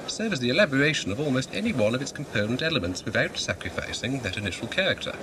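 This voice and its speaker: A man's voice: medium pitch, natural voice. A man playing a pompous academic music professor who lectures pedantically in a science fiction audio drama. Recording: noisy amateur audio drama recording.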